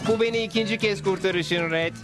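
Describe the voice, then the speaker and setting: Cartoon dub newspaper editor, male actor: extremely high, excitable, eager, declaiming. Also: a little fast, close to an everyday voice. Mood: earnest. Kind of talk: dubbed cartoon acting. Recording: loud music bed under the dialogue.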